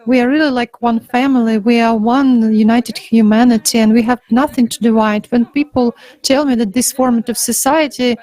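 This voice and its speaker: A woman speaking informally on a panel, earnest and somewhat halting. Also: fairly high pitch, fairly even intonation, darkish tone, rough recording.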